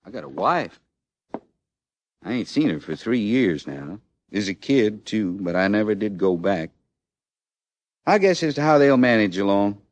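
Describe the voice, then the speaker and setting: An actor in old-time radio western style playing a condemned American outlaw in his thirties, with a rustic, halting Western drawl and a rueful, plainspoken manner. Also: on the low side, very animated, no room sound, highs cut off. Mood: wistful. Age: 30s. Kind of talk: mid-century American radio western acting.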